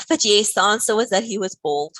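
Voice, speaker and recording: A woman's voice: fairly high pitch, pushed and bright, breathy edge, no room sound. A woman teaching a live online maths lesson, playful and teasing, walking through each step.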